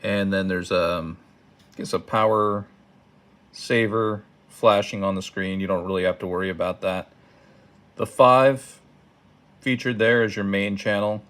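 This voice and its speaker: A man giving a casual step-by-step how-to, halting and thinking aloud, recorded indoors at a tabletop. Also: fairly low pitch. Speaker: product tutorial presenter. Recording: clearly noisy home recording, button clicks.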